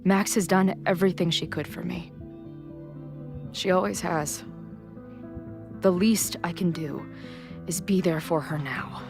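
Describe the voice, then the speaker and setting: Weary, wry low-voiced young woman. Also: steady tone, measured pace, pauses often, over music. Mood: weary, self-sacrificing. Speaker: video game voice actor. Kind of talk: naturalistic dramatic game dialogue.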